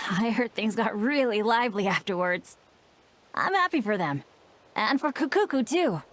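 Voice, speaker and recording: A woman playing a youthful, expressive adventurer in scripted fantasy video game dialogue. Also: mid-range voice, moderate pace, a little hiss or hum, natural voice. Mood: warm, reflective.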